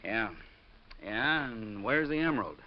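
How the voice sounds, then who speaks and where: An actor playing a gruff, chummy police detective in 1950s American radio drama, colloquial and teasing. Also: male voice, mid-range voice, expressive, unhurried, lightly acted. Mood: teasing, blunt. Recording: muffled old radio recording with noise.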